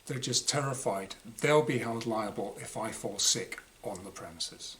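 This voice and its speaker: Man reading wryly, bright voice, noisy recording. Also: fairly low pitch, a little slow. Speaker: author reading own short story. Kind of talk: dramatised reading of a first-person diary story. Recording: clear background noise.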